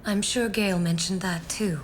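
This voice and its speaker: Solemn woman survivor played by an actress, middle pitch. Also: steady tone, moderate pace, a little hiss or hum, close to an everyday voice. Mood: solemn, reflective. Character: grave widowed female survivor who has outlived her years. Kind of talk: English-dubbed video game cutscene acting.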